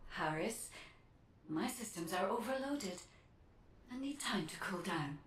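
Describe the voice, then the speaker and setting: A woman voicing a calm female AI guide program that gives flat, clipped, machine-like reports. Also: medium pitch, a little slow, echoey room, recorded from a distance, a little hiss or hum, close to an everyday voice.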